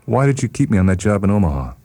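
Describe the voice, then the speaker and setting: A man's voice: lowish voice, sing-song, rapid-fire, a little mellow, faint music behind, voice pushed up. A man playing an anguished, brooding, suspicious male patient in a dramatized story reading. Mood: bitter, challenging.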